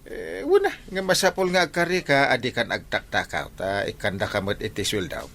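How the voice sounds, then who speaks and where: A man's voice: medium pitch, sing-song, fairly quick, a bit bright. A man with an Ilocano Filipino accent playing a good-natured, fair-minded village captain in a radio comedy drama. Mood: reasonable.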